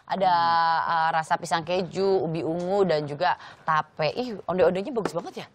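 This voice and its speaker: A woman's voice: fairly low pitch, normal speed, slight background noise. A young adult woman co-hosting in a TV studio, chatting and reading out trivia. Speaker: TV show co-host. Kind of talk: lively studio chat and reading out food trivia. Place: TV studio kitchen set, indoors.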